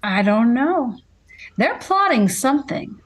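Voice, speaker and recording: Woman acting an animated, concerned housewife. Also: a little high, takes it slowly, heavily acted. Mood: suspicious. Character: concerned, affectionate housewife and mother. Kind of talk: vintage radio drama acting.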